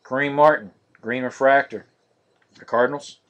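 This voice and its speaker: Male card-break host, mid pitch, flat steady delivery, live-stream feel. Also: normal speed, muffled recording. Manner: monotonous, steady listing of cards.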